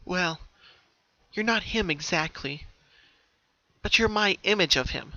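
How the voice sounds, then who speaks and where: A gentle but resolute young mare, played by a man, pitched well up and bright. The character is cheerful and brave, in a storybook reading. The recording is dull, with noise behind it. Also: lively intonation, takes it slowly, no room sound, full character voice. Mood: calm, defiant. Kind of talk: dramatic fan-fiction reading.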